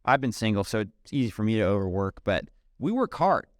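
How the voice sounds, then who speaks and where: A man, chatting casually as a podcast guest, relaxed and peppered with "um" and "dude". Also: on the low side, brisk, dry recording, studio quality. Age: 30s. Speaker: podcast guest, software company CEO. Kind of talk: casual interview conversation.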